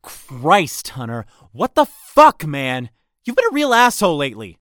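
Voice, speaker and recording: A man's voice: on the high side, huge pitch swings, unhurried, a bit bright, dry recording, studio quality, heavily acted. An actor playing an earnest, insecure young man in an audio drama, speaking hesitantly and wearing his heart on his sleeve. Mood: angry, defensive. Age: young adult.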